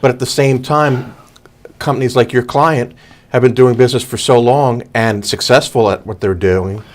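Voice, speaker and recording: A man's voice: mid-range voice, steady tone, normal speed. A middle-aged man co-hosting a business talk show, asking easy questions and offering short affirmations in a casual chat. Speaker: co-host, public relations owner.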